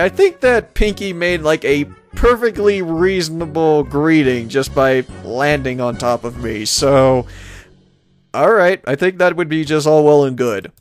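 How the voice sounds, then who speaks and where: A man reading a visual novel aloud as a let's player, casually narrating and adding halting, bemused asides. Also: a little high. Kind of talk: casual gameplay narration and commentary.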